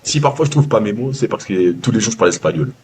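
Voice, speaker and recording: Low-voiced Southern French man, halting. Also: expressive, dark tone, breathy edge. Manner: halting, many fillers and restarts. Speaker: interviewee, former baker. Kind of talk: casual video-call testimonial. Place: calling from abroad, early morning.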